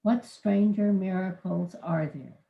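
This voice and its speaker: A woman reading a poem aloud during a service in a slow, measured way, then introducing the speaker. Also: mid-range voice, creaky, echoey room, muffled recording.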